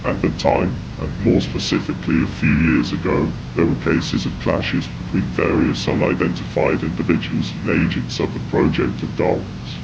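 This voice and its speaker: Male narrator for scripted horror, deep and heavily fried whispery rasp, grave delivery, muffled noisy audio. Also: moderate pace, hardly any pauses. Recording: dull, noisy lo-fi audio with rumble.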